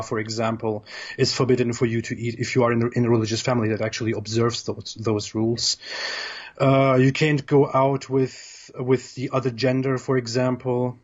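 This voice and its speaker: A man's voice: mid-range voice, muffled recording. A man from Germany with a German accent, a guest talking over a video call, laughing easily and often repeating words as he starts a sentence.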